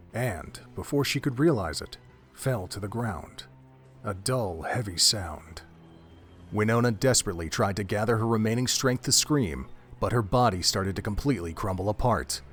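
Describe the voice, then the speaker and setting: A man with a low voice narrates a grim horror tale. His delivery is measured and dramatic, and it builds dread line by line. Faint music runs beneath his narration. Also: lively intonation.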